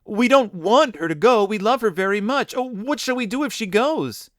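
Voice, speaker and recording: A man voicing a pair of young children, begging in high, breathless voices while reading a storybook aloud. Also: huge pitch swings, takes it slowly, darkish tone, dry recording, clean studio sound, full character voice. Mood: desperate. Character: pleading young children, excited and desperate.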